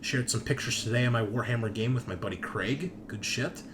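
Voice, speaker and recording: A man's voice: mid-range voice, brisk. A man hosting a tabletop gaming show as game master, chatting casually through announcements with joking, salty banter. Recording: noticeable background noise.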